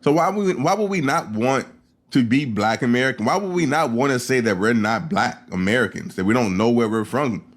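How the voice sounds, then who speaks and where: A man's voice: mid-range voice, expressive, brisk. A man speaking emphatically and proudly in casual commentary, repeating his points.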